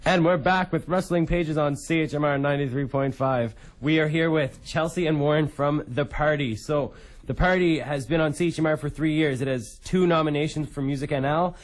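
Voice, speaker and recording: A man's voice: fairly high pitch, a little fast. A man hosting a radio interview in a studio, a bit hesitant with frequent "uh" fillers. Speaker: radio host.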